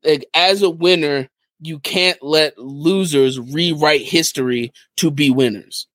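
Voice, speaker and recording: A man co-hosting a podcast in casual conversation, retelling things people said in an animated way. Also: a little high, takes it slowly, no room sound, clean studio sound. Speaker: podcast co-host.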